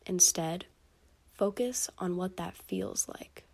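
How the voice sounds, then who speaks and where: Soft-spoken woman guiding a sleep meditation. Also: fairly low pitch, vocal fry. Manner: soft, soothing, gentle instruction with pauses. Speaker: meditation guide. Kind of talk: guided sleep meditation, scripted.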